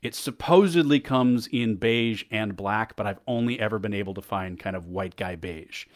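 A man with a bassy voice chatting casually on a podcast, rambling with restarts, recorded on a headset mic. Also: normal speed. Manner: rambling, frequent restarts and fillers. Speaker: podcast co-host and event tech guest. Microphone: over-the-ear headset mic.

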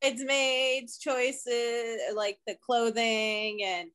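A woman co-hosting a podcast in casual chat, playful and quick to laugh. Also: on the high side, flat delivery, fairly bright tone, smooth. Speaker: podcast co-host.

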